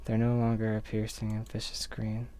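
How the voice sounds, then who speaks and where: A man narrating a visual novel aloud in an expressive storytelling style, with casual commentary. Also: fairly low pitch, moderate pace.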